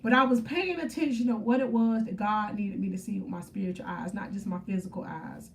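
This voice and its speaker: A woman's voice: medium pitch, fairly quick, gravelly, far from the mic, a little hiss or hum. A woman, chatting on a faith-centered livestream, upbeat and preachy as she answers viewer comments. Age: 30s. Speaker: faith motivational livestream host. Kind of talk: casual live stream chat with viewers.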